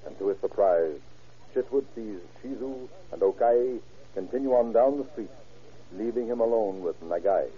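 A man narrating a crime story in a measured, grave, old-time radio drama style. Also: on the low side. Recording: tinny, noisy vintage radio recording.

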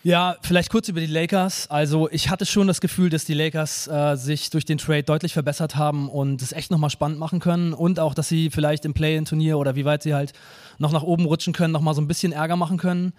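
A man's voice: a little high, flat delivery, quick pace, darkish tone. A man talking sports casually and in slangy, colloquial words as a guest on a live podcast. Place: live stage show.

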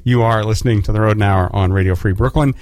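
A man hosting a casual radio interview, chatty and quick to laugh, with loose fillers as he talks. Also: fairly low pitch, darkish tone, dry recording, clean studio sound. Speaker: radio host. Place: radio studio.

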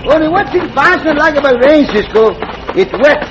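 An actor playing a childlike, comical Mexican cowboy sidekick in a radio western, with an exaggerated Mexican accent and broken English. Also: male voice, extremely high pitch, animated, a little fast. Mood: excitable. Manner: broken English, third-person self-reference. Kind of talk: old-time radio western comedy acting. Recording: muffled, very noisy vintage radio recording.

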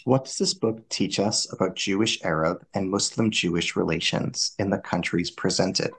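A man hosting an interview, reading prepared questions in a formal, deliberate way. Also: low voice, fairly bright tone, breathy edge. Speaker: podcast host.

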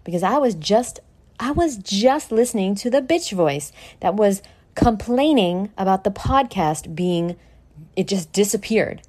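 A woman hosting an informal motivational podcast, brash and sweary, talking straight at the listener with dramatic, drawn-out emphasis. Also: mid-range voice, expressive, darkish tone. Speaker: podcast host. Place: at home in a condo.

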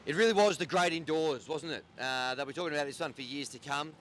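Teasing podcast host. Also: male voice, on the high side, fast talker, a bit bright, a little hiss or hum. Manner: teasing, jokey, throws to co-hosts. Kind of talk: casual podcast chat.

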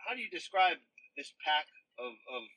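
A man's voice: fairly high pitch, very animated, normal speed, sharp tone, no room sound, poor audio. A man interviewing a boxer, asking probing, eager questions.

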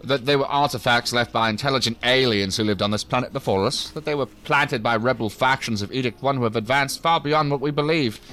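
A man's voice: medium pitch, fairly quick, hardly any pauses, bright, forceful tone, a little hiss or hum, natural voice. An actor playing a jaded, condescending male professor in a science fiction audio drama, wordy and dryly sardonic. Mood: sardonic, lecturing.

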